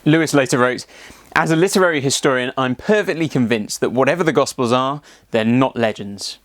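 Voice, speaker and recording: A man's voice: mid-range voice, brisk, no echo. Man narrating, very dry close sound. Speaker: presenter. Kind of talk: scripted narration, explanatory.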